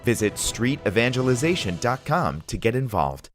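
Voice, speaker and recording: A man reading a scripted radio ad, earnest and measured. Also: medium pitch, big pitch swings, music in the background. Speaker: announcer.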